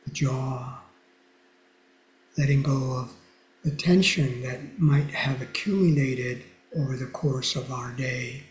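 A soft-spoken man with a low, quiet voice, teaching mindfulness and guiding a meditation gently, with pauses and fillers over a video call. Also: reverberant, rough recording. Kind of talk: lecture and guided meditation.

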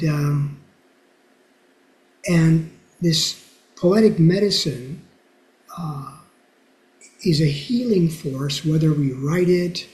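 A man with a quiet, soft voice guides a meditation at a very unhurried pace, pausing often and filling gaps with gentle fillers. His voice sits a little high for a man. The sound comes over a video call in a roomy, echoing space. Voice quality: soft-spoken, low volume. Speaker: mindfulness teacher.

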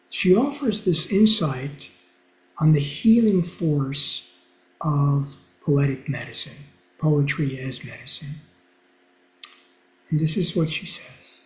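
A man with a quiet, soft voice guides a meditation at a very unhurried pace, pausing often and filling gaps with gentle fillers. His voice sits a little high for a man. The sound comes over a video call in a roomy, echoing space. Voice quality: soft-spoken, low volume. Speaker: mindfulness teacher.

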